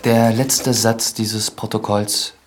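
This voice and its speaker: An actor playing an earnest, troubled village priest who speaks formally in a German radio drama. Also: male voice, fairly low pitch, flat delivery, slow pace, very noisy. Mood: shaken, brooding.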